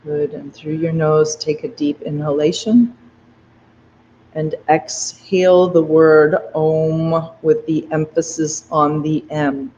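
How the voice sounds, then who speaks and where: Very slow, soft-spoken meditation guide. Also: medium pitch, flat delivery, slight background noise. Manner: soft, gentle, unhurried instructions. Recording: dull lo-fi recording with faint ambience.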